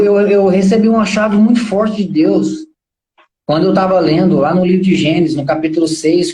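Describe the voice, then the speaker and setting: High-voiced Brazilian man in an echoey room. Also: dark tone. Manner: earnest, colloquial, preachy, with rhetorical questions. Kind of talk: informal motivational talk in a live stream.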